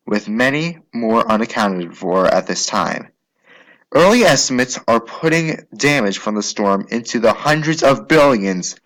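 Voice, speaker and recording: Male narrator, scripted news style. Formal read, very varied pitch, occasional stumbles. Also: a bit bright, low-quality recording.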